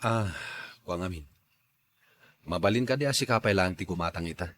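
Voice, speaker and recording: A man acting a mild, soft-hearted working husband in a radio drama, placating and easygoing. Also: low voice, moderate pace.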